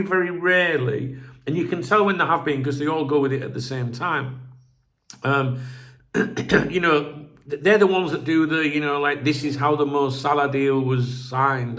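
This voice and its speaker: A man's voice: a little high, a bit bright. A man hosting a football channel, chatting casually and rambling. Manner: rambling, chatty, clears throat often, swears casually. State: sore throat, a bit croaky. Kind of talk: casual chat commentary.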